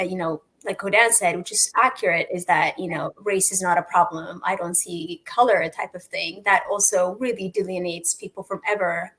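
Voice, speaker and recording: A woman from Ethiopia hosting a panel discussion, speaking reflectively with many pauses and fillers. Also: on the low side, a bit bright, rough recording. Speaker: host.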